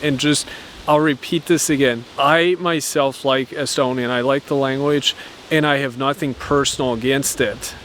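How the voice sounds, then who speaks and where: A man's voice: medium pitch, fairly even intonation, normal speed, a bit bright. Earnest man vlogging outside, faint hiss. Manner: earnest and reasoned, with frequent restarts and repeated words. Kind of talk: opinion monologue to camera.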